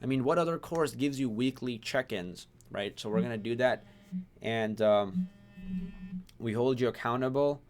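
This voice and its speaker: A man's voice: medium pitch, normal speed. A young man born in Pakistan, hosting a casual livestream Q&A for his online course, reading viewer names and questions aloud.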